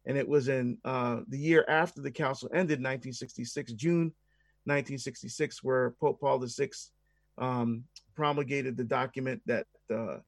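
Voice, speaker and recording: A man's voice: mid-range voice, steady tone, normal speed, gravelly, no room sound. American man, dry close-sounding interview guest. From Barbados and the United States. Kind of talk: conversational interview answers, explanatory.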